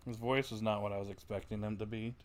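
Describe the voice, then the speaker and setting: A man's voice: fairly low pitch, steady tone, quick pace, a little hiss or hum. A man giving casual reaction commentary as he livestreams a story-driven game.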